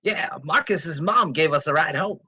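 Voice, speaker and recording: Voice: mid-range voice, lively intonation, moderate pace, bright, forceful tone, slightly rough. A performer playing a cheeky, eager athletic teenage son at a screenplay table read.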